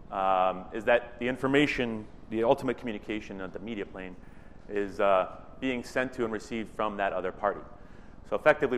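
A man with a loud voice presenting slides at a technical meeting, speaking casually with fillers. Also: fairly low pitch, long reverb tail, slight background noise. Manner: loud voice, conversational with many fillers. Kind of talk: presenting slides, then open floor discussion. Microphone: microphone in a meeting room.

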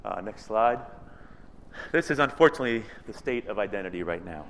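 A man with a loud voice presenting slides at a technical meeting, speaking casually with fillers. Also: lowish voice, lively intonation, fairly quick, big-room sound. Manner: loud voice, conversational with many fillers. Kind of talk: presenting slides, then open floor discussion. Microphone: microphone in a meeting room.

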